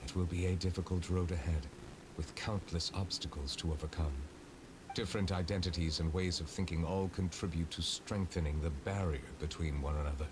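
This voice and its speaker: An actor playing a calm, reserved male chief justice who speaks in a measured, formal way. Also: low-pitched, background noise, lightly acted. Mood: composed. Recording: game music behind.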